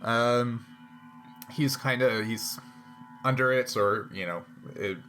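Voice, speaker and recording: Male game master with a mid-pitched voice. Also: slow pace, fairly bright tone, soft background music. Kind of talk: narrating a tabletop role-playing game, improvised.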